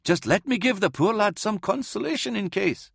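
An elderly priest, acted out by a male narrator, mild and rambling. He mumbles in a raised, lilting pitch in a dry audiobook recording. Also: animated, moderate pace, creaky, fairly bright tone, husky, no echo, exaggerated voice. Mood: gentle, muddled.

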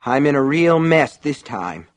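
A man voicing a troubled, gullible small-town man in an audiobook, agitated and halting, with an unhinged edge. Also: a little high, big pitch swings, voice pushed up. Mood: desperate, frightened.

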